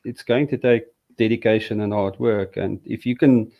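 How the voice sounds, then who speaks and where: A man from South Africa speaks haltingly, leaning on fillers and restarting his sentences. He sounds like a teacher explaining his course over a video call. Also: fairly low pitch, fairly even intonation, creaky, highs cut off. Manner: hesitant, many fillers and restarts. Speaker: Hebrew teacher. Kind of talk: casual interview conversation.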